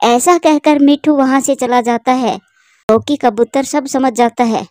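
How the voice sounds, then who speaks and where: A woman narrating a children's cartoon story with steady, plain delivery. Her voice is fairly high and mellow, recorded dry and close. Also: a little slow, no echo.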